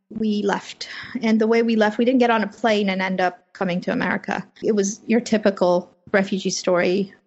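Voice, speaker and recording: A woman from Afghanistan, a native Persian speaker, speaking as a journalist guest in a measured, reflective way from her home office. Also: medium pitch, vocal fry. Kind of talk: interview over remote link. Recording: muffled lo-fi remote audio.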